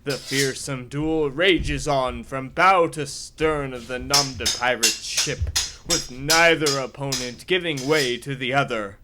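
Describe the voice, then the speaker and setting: An actor playing a dramatic adventure-serial narrator, reading scripted radio drama narration. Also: male voice, mid-range voice, slow, lightly acted. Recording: clearly noisy recording.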